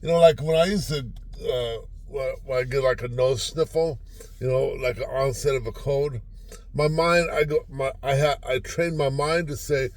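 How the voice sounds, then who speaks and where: A man's voice: mid-range voice, steady tone, normal speed. An older man giving a casual, rambling motivational talk to camera from inside a parked car, folksy and self-deprecating, often repeating himself. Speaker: vlogger.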